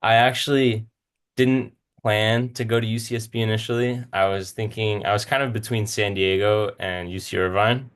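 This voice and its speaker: A man's voice: fairly low pitch, steady tone, moderate pace, smooth. A man in his twenties with a Californian American accent, giving an informal, self-deprecating slide presentation as a student speaker. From Southern California, United States. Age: 20s. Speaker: student speaker, medical school applicant.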